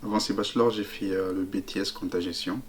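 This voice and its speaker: Deep-voiced man, flat and halting. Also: a little hiss or hum. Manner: halting, searching for words. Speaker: student apprentice. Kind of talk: casual testimonial.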